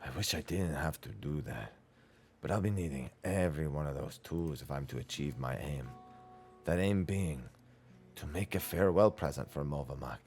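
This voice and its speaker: Man doing a deep-voiced aging craftsman. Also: gravelly, a little mellow, soft background music. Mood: tender, earnest. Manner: measured, formal, earnest phrasing. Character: gruff but fond older craftsman nearing retirement. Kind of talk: video game dialogue read aloud in character.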